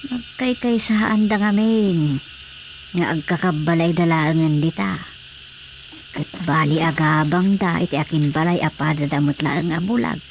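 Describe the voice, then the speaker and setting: A nosy village woman who mutters to herself in exasperation in a radio comedy. Her voice is on the low side for a woman. Faint noise and crickets sit behind her. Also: measured pace. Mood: muttering, wary. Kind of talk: Ilocano radio drama comedy acting.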